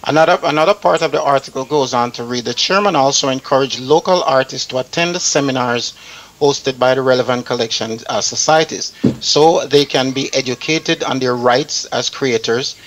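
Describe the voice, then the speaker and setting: Casting: male radio host, mid-range voice, deliberate and slightly halting reader. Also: mid-range voice, fairly bright tone, poor audio. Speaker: talk show host. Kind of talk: reading an article aloud, panel chat.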